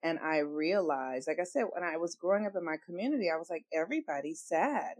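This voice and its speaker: An earnest woman telling her personal story as a guest in an interview, stressing her points with swings in loudness. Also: sits low, animated, fast, no echo. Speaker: astrologer guest.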